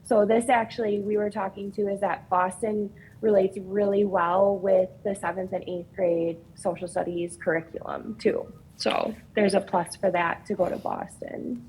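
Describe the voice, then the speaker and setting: A woman's voice: mid-range voice, fairly even intonation, moderate pace, few pauses, a little mellow, a little hiss or hum, poor audio. A woman with a social studies teaching background, explaining plans at a meeting in a friendly, informal way. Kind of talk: casual presentation.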